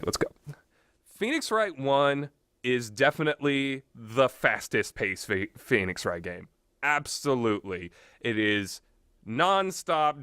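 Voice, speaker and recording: A man's voice: medium pitch, a bit bright, no room sound, clean studio sound. Rambling, opinionated man reviewing games. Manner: blunt, opinionated, backtracks and goes on tangents. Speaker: video game and visual novel reviewer. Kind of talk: casual, rambling, unscripted review talk.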